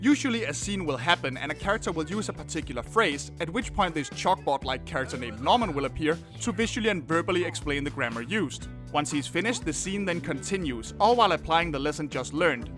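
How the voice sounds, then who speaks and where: A man in his thirties from Denmark, speaking English, giving a sarcastic, animated scripted review. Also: a little high, fast, hardly any pauses, music in the background. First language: Danish. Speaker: video reviewer.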